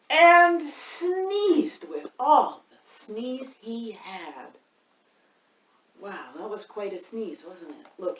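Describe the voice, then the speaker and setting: A woman reading a rhyming picture book aloud, with a lively, sing-song delivery and asides to the listener. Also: a little high. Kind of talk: expressive read-aloud storytime. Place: home room. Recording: roomy echo, mic at a distance.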